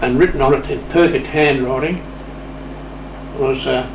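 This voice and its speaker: A man's voice: mid-range voice, fairly even intonation, normal speed. A man telling anecdotes informally as an interview guest, recorded at a distance in an echoey room. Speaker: radio club interview guest. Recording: echoey room, distant mic, clear noise.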